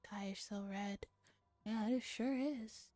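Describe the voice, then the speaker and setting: A woman streamer with a soft, often whispery voice, reading a visual novel in ASMR style with casual comments. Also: fairly high pitch, slow pace, no echo, faint music behind.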